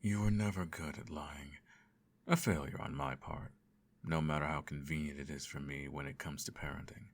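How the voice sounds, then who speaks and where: A man playing a cold, controlling emperor and adoptive father in an ASMR bedtime roleplay, speaking formally with measured, condescending menace. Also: steady tone. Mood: measured, reassuring. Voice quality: very deep, creaky.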